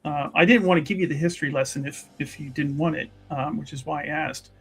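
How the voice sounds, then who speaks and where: A man plays a composed fantasy noblewoman in a tabletop roleplaying game, pitched a little high. The words come out measured and halting, diplomatic yet pointed. Faint music runs underneath. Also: steady tone, a bit bright, slightly rough. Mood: probing. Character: composed noblewoman confronting a rival mage, courteous but pointed. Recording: music behind the voice.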